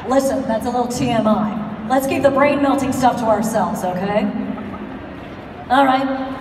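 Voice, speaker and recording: A woman's voice: on the high side, normal speed, close to an everyday voice. A woman playing a breezy, coaxing teenage boy at a live comedic table read. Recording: echoey noisy hall, far from mic.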